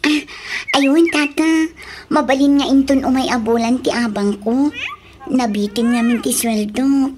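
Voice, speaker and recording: A woman's voice: high-pitched, measured pace, a little mellow. A woman playing a coy, hesitant young tenant who humours her older landlord in a comedic radio drama.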